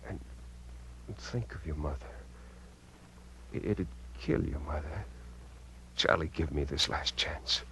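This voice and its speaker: An American actor playing a menacing, bitter, cajoling killer uncle in a 1950s radio drama, with intense delivery. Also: male voice, a bass voice, takes it slowly, pauses often, bright, forceful tone, full character voice, poor audio. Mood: weary, pleading. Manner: intense, swinging from coaxing to contemptuous. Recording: vintage radio recording with hum.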